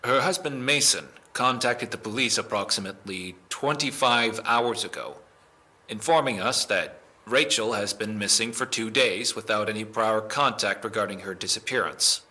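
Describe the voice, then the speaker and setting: A man playing a firm, methodical police officer in an audio drama, speaking in a formal, deliberate, official manner. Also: fairly low pitch, sharp tone. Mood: grave, official.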